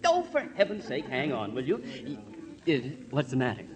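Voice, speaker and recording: A man's voice: on the high side, huge pitch swings, moderate pace, a crowd in the background. A man playing a fussy, harried hotel desk clerk in 1950s radio drama, snippy and flustered by turns. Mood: flustered, apologetic. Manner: flustered, exasperated, abruptly switching to apologetic politeness. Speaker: character actor.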